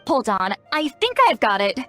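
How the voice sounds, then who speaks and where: A woman's voice: a little high, sing-song, moderate pace, pushed and bright, faint music behind. An actress playing a cocky, blunt young female shaman in a video game, speaking in a self-assured, brusque, explanatory way. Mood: wary, pondering. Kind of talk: animated video game voice acting.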